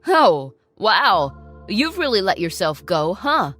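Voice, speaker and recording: A woman's voice: fairly high pitch, very animated, moderate pace, fairly bright tone, soft background music. A woman voicing a smug, selfish man who sneers at his ex-wife. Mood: sneering. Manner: sneering, condescending. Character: smug selfish ex-husband, middle-aged man.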